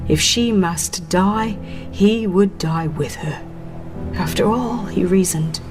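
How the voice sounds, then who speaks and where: A woman reading a dramatized religious audiobook as a narrator, in a solemn, measured and expressive storytelling manner. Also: fairly high pitch.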